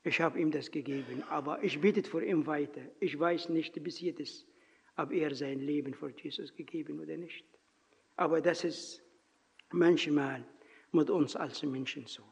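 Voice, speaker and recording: A man with a non-native German accent preaches with earnest, halting phrasing. His high, husky voice moves slowly through the sermon and the prayer. The sound rings in a reverberant church hall and comes through dull and lo-fi. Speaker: lay preacher. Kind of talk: sermon with personal story and prayer.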